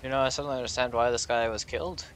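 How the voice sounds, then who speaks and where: A man's voice: medium pitch, steady tone, racing, a bit bright. A man in casual game chat with friends, guiding them along with a wry, sarcastic manner. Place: voice chat over a video game.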